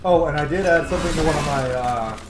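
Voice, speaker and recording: A man's voice: fairly high pitch, quick pace. A man doing casual, rambling, sweary let's play commentary as he streams a video game. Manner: rambling, sweary, self-joking. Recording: echoey room with clear background noise.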